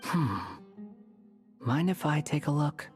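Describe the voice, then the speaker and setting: A man's voice: fairly high pitch, takes it slowly, breathy edge, soft background music, heavily acted. A man voicing an aloof young wanderer in a video game, dry, curt and sardonic. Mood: probing. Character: aloof, sardonic young male wanderer turned storybook stray cat.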